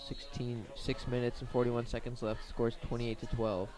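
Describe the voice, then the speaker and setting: A man doing live radio play-by-play of a football game, describing each play step by step in a measured way with pauses. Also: mid-range voice, flat delivery, darkish tone, poor audio. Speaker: play-by-play sports commentator.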